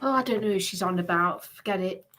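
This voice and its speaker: A woman's voice: medium pitch, quick pace, over music. A woman hosting a casual live stream chat, talking in an insistent, questioning way.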